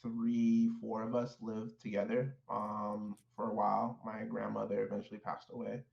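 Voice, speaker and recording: A man born in Haiti, a guest in an interview, telling his life story in a measured, thoughtful way with frequent fillers and restarts. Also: fairly low pitch, monotone, creaky, a little mellow, roomy sound, dull audio. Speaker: guest, clinical social worker. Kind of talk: reflective personal storytelling.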